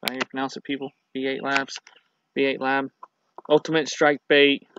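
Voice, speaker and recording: A man's voice: mid-range voice, completely flat delivery, moderate pace. A man, talking casually and rambling to camera outdoors at dusk as a fishing channel host, with frequent ums and restarts. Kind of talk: casual product review to camera. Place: outdoors in a grassy yard at dusk. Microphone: built-in camera mic at arm's length. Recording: dull lo-fi camera audio with faint noise.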